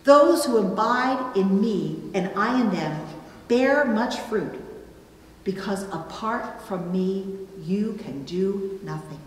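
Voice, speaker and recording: A middle-aged woman preaching a sermon from a pulpit, with deliberate, emphatic phrasing and pauses. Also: mid-range voice, lively intonation. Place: indoors at a church pulpit. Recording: roomy, reverberant church, distant, faint noise.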